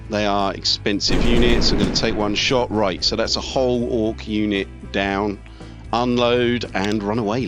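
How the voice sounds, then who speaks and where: A man giving casual live commentary on a game, thinking aloud as he talks through his tactics. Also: lowish voice. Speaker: let's play host. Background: video game music, artillery fire and vehicles.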